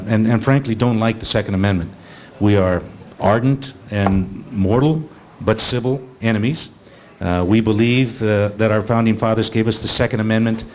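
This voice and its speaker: A middle-aged American man speaking as a panel advocate, emphatic and deliberate. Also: lowish voice, a little mellow, slight background noise. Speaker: panel guest, advocacy executive director. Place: storefront meeting room, live event.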